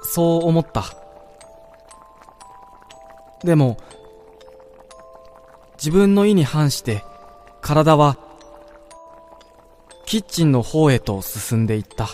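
A man playing a wistful dreamer in a radio drama, telling of his own dream in a measured, reflective first-person narration. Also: a little high, expressive, darkish tone. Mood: uneasy, resisting. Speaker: narrator-protagonist. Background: background music.

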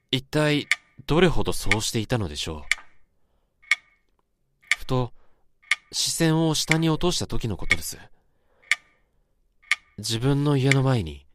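A man acting as the haunted narrator of a radio horror drama, recounting an eerie dream in a dramatic monologue. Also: fairly low pitch. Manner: dramatic, swelling from hushed to intense. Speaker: narrator and main character. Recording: storm and rain noise behind.

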